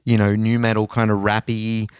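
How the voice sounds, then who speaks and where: Smooth low-voiced man chatting casually. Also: completely flat delivery, normal speed, no echo, studio quality. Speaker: podcast co-host. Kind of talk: casual music chat.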